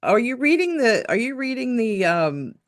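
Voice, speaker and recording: Playful woman with a lively voice. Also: fairly high pitch, no room sound, studio quality. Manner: playful and teasing, quick back-channel replies. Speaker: co-host of a relationship coaching livestream. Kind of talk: casual teasing livestream chat.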